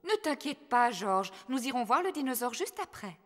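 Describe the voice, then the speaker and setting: A woman voicing a kind, patient mother pig in a French cartoon dub, explaining gently. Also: a little high, very animated, normal speed, in a large hall. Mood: gentle.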